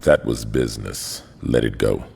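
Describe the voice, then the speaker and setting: An actor playing a proud, commanding veteran gang boss with an American accent in a video game cutscene. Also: male voice, steady tone, unhurried, a little mellow, heavily acted. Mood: hard, resolute. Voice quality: gravelly, very deep. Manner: authoritative, menacing, street talk.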